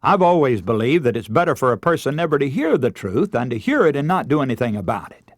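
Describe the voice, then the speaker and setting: An American man preaching a radio sermon in an earnest, emphatic way, leaning deliberately on key words and telling illustrations. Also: medium pitch, very animated, no echo, studio quality.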